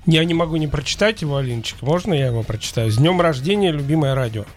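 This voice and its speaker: Male radio co-host, notably high voice, live announcements and banter, music underneath. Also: animated, fast. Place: radio studio. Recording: music playing behind.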